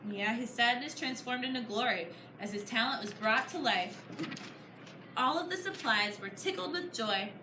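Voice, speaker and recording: Woman, high voice, storybook read-aloud, encouraging teacher-like questions, echoey classroom, noisy background. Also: animated, moderate pace. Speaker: author reading her book to a class. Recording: echoey room, clear background noise. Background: children answering, room noise.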